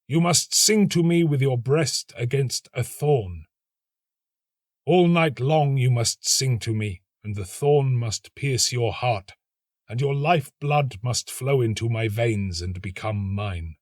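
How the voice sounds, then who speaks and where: A man voicing a mournful old rose tree in a storybook, speaking in a solemn, drawn-out, foreboding way. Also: mid-range voice, no room sound, studio quality, close to an everyday voice. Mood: grave foreboding. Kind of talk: storybook character acting.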